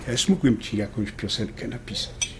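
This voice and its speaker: A man's voice: fairly low pitch, moderate pace, vocal fry, a little hiss or hum. A man playing a stubborn but affectionate husband in a naturalistic audio drama, speaking conversationally. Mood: affectionate, relaxed. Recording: faint clinking restaurant background. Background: cutlery and dishes clinking.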